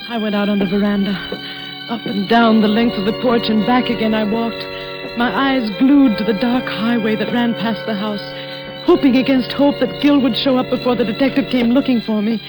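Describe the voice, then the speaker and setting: An American actress playing an anxious wife who narrates a 1940s radio thriller in a hesitant, guarded, dramatic way. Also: female voice, on the high side, fairly even intonation, normal speed, lightly acted, rough recording. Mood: tense narration. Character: anxious boarding-house wife hiding her fears, thriller narrator. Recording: vintage radio recording with music.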